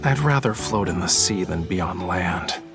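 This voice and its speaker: A man's voice: low-pitched, lively intonation, moderate pace. A young man voice-acting a whimsical painter in a video game cutscene, wry, teasing and nonchalant. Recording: music behind the voice.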